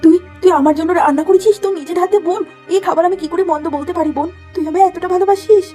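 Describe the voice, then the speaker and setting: Woman voicing kind elder sister, cartoon, soft caring tone, high voice, faint music bed. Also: steady tone, fast talker. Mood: moved.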